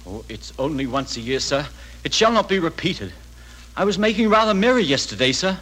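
An actor playing a meek, apologetic, flustered clerk in an old-time radio drama. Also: male voice, fairly high pitch, very animated, brisk, a bit bright, rough recording. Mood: nervous, apologetic. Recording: vintage radio broadcast recording.

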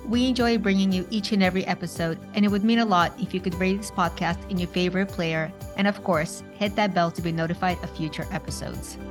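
A woman's voice: on the low side, steady tone, quick pace, hardly any pauses, music in the background. A woman hosting a podcast, reading a scripted introduction. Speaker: podcast host.

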